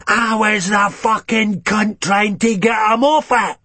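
A man's voice: sits high, animated, takes it slowly, a bit bright, slightly rough, no echo, voice pushed up, exaggerated voice. A man doing a volatile Scottish hard man. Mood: aggressive. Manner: snapping, swearing, combative.

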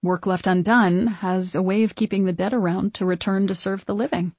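Woman voicing a gentle, wistful young witch ghost, husky. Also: mid-range voice, fairly even intonation, no echo, lightly acted. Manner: earnest, old-fashioned, measured phrasing. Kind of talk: audiobook character acting.

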